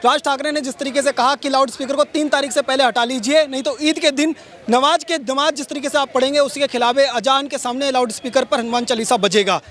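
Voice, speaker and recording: Guy reporter, really high voice, talks fast, on the street news style. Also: steady tone, a bit bright.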